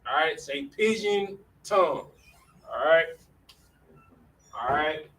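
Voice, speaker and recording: Slow-talking man teaching a class. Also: fairly high pitch, lively intonation, a bit bright. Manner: casual, slow, fills with "all right". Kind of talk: classroom lesson. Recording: distant mic with faint room noise.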